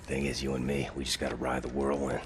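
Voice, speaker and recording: An actor playing a weary, tough soldier in a video game, laconic and plain-spoken, with an American accent and gravelly voice. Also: male voice, rumbling low, little pitch movement, quick pace, background noise. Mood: wry, reassuring. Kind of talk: video game dramatic acting. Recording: music under the voice.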